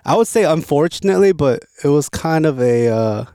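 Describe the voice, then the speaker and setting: A man from Korea raised in Los Angeles, telling his story as a guest in a relaxed, repetitive way. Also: on the high side, brisk, clean tone, no echo, clean studio sound. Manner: relaxed, repeats words while thinking. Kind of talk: casual interview.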